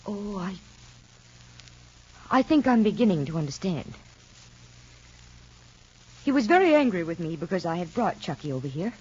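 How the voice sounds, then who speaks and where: An anxious, probing mother figure from a vintage radio soap. Her husky voice swings up and down as she presses with questions. The recording is muffled and lo-fi. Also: on the low side, big pitch swings, moderate pace, pauses often, darkish tone. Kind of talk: 1940s radio acting.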